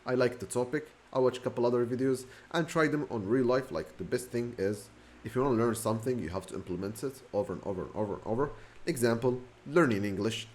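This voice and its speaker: A young Moroccan man talks straight to camera in English with a clear Moroccan accent, his voice sitting in an ordinary middle pitch. He rambles casually, fills gaps with "you know" and "like", corrects himself mid-sentence and rushes through phrases in quick bursts. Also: darkish tone. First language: Moroccan Arabic. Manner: rambling, self-correcting, fast bursts with fillers. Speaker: vlogger. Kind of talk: casual talking-to-camera story.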